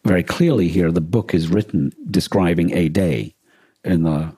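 A man's voice: deep voice, moderate pace, dark tone, husky, no room sound. A man co-hosting a podcast, talking casually in a thoughtful, explanatory way with some hesitation. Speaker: podcast co-host. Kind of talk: casual discussion.

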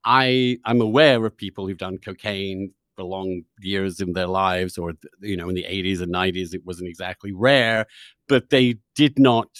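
Man, podcast interviewer, lowish pitch, dry sound, probing, halting, word repetitions, conversational debate. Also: steady tone, a bit bright, no room sound. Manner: halting, repeats words, probing.

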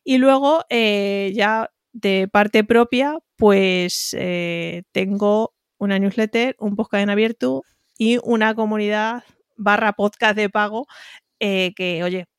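A woman's voice: mid-range voice, slow, clear, resonant tone, dry recording. Young woman, southern Spanish accent, chatty, laughs easily, dry close sound. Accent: southern Spanish, between Extremadura and Córdoba. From Spain, near Extremadura and Córdoba. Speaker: guest, newsletter and content marketer. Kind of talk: casual interview chat.

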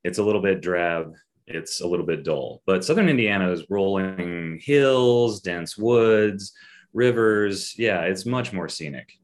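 A man, a writer appearing as a podcast guest, talking in a measured, descriptive way with dry asides. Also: sits low, lively intonation. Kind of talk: casual conversational interview.

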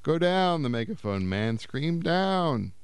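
A man's voice: very animated, takes it slowly, clean tone, no echo, full character voice. A man doing a brash carnival barker. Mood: yelling. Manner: loud coaxing barker pitch.

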